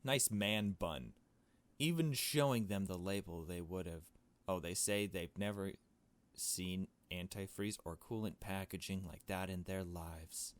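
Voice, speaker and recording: Give me a deep-voiced man playing through a visual novel, reading it out conversationally with wry little asides. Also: measured pace. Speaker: gaming video host. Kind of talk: casual playthrough commentary mixed with reading visual novel text aloud.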